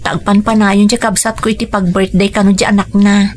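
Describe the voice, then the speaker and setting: Woman acting as dutiful young migrant worker, yielding, mid-pitched, radio drama, faint noise behind. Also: level tone, fairly quick, close to an everyday voice. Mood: resigned.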